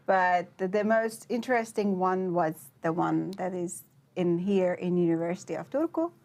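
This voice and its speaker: A young woman from Iran, answering interview questions as a guest, with many pauses and fillers. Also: fairly low pitch, a little mellow. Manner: hesitant, many fillers and restarts. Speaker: PhD researcher, guest.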